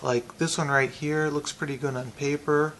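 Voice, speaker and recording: A man giving a casual, unscripted product review, thinking aloud in a halting way with pauses. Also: a little high, flat delivery, fairly bright tone, slight background noise.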